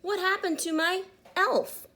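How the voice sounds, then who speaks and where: A woman's voice: extremely high pitch, fairly even intonation, measured pace, bright, forceful tone, pitched above the performer's own voice, exaggerated voice. A woman voicing a grumpy, demanding male storybook character who speaks in a curt, scolding way. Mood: upset.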